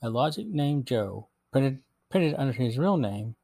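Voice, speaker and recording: A man with a mid-pitched voice hosts a review show. He reads prepared commentary as narration, summarizing and assessing as he goes. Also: very animated, measured pace, creaky, mellow. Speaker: podcast host and reviewer.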